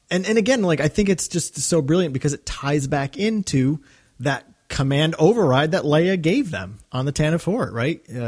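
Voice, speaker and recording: A man's voice: fairly high pitch, darkish tone. Man casually hosting a fan podcast. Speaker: podcast host. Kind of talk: casual fan discussion and recap.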